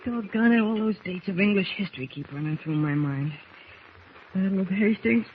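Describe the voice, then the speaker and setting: A muffled, noisy old radio recording. an earnest teenage boy with a high voice. He talks in wholesome exclamations like a plucky young adventurer of a radio serial. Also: expressive, normal speed. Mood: anxious, plucky. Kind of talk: 1940s radio drama acting.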